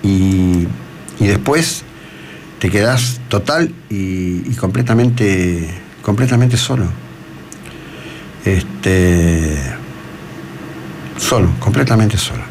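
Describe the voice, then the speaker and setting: Low-voiced Argentine radio host, noisy line. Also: male voice, lively intonation, very slow, long pauses. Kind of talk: live radio interview and commentary. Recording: noticeable background noise.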